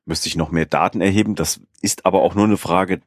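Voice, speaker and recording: A man's voice: low voice, steady tone, brisk, no room sound, clean studio sound. A man hosting a podcast in casual chat, rambling with many fillers and restarts. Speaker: podcast host.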